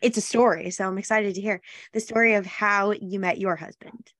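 A woman's voice: mid-range voice, steady tone, moderate pace, a bit bright, no echo, studio quality. A woman in her late twenties hosting a podcast, chatting casually in a bubbly way with many fillers. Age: 20s. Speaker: podcast host. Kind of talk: casual chat.

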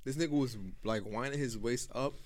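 A man's voice: mid-range voice, fairly quick. A young man chatting casually on a podcast, telling loose stories and breaking into big laughing fits. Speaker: podcast co-host. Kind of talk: casual chat.

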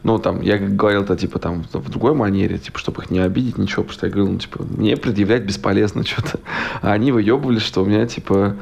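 A man's voice: on the low side, very fast, gravelly, darkish tone, slightly rough. A man of about thirty from Russia, a podcast guest rambling in casual chat, full of fillers, restarts and blunt profanity.

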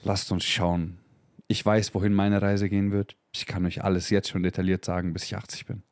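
A man's voice: sits low, level tone, normal speed, dry recording, studio quality. A man in his thirties hosting a motivational podcast, talking emphatically and profanely and mixing in English slang. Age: 30s. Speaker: podcast host.

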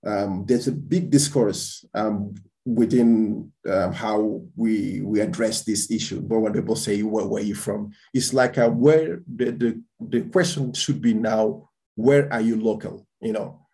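A man's voice: fairly low pitch, fairly even intonation, a little slow, gravelly, warm tone. A man, a guest entrepreneur born in Spain and raised in Portugal, talking in a casual interview with many restarts and repeated words.

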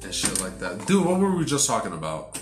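Young man, native Sicilian speaker, low voice, loose filler-heavy chat, roomy echo, music underneath. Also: big pitch swings, a little fast. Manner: rambling, heavy on fillers and swearing. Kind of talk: casual chat.

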